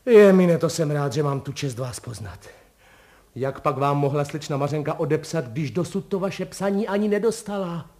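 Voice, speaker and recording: A man's voice: on the high side, a little mellow, natural voice. A man voicing a good-hearted, chatty postman in a storybook tale, with a colloquial, wordy and deferential manner. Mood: exuberant. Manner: colloquial, deferential yet exuberant, piling up words.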